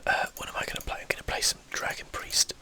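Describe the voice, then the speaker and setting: Casting: game streamer for ASMR, fully whispered, bright and airy, casually thinking through each move. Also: quick pace. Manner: whispering throughout, breathy and unvoiced. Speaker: gaming video host. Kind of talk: whispered ASMR gameplay commentary.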